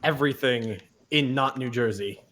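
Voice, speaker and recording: A man's voice: mid-range voice, moderate pace, fairly bright tone. A man bantering casually on a group voice chat in a playful, teasing manner.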